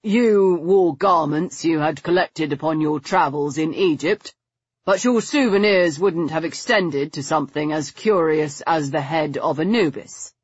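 Woman voicing a gentle, low, husky learned man. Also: no echo, highs cut off. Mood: matter-of-fact. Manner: soothing, measured, articulate.

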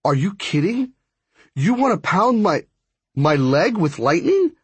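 A man's voice: high-pitched, sing-song, unhurried, husky, dry recording, voice pushed up, heavily acted. A man playing a wary, sarcastic boy in an audiobook story, with an incredulous, hesitant and wry way of talking. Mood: nervous, protesting. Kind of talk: acted audiobook dialogue.

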